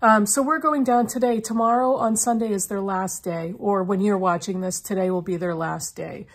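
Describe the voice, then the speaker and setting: A woman's voice: medium pitch, fairly even intonation, moderate pace. A middle-aged woman chatting casually to camera as a book vlogger, her voice slightly froggy from a lingering cough. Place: small indoor room lined with bookshelves.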